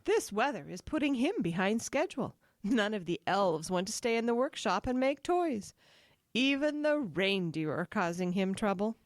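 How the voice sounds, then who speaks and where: An actress playing an affectionate, practical wife in an audio drama, sweet and matter-of-fact. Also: female voice, mid-range voice, big pitch swings, moderate pace, no echo, studio quality, lightly acted. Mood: fond, concerned. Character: affectionate, practical wife who runs tours.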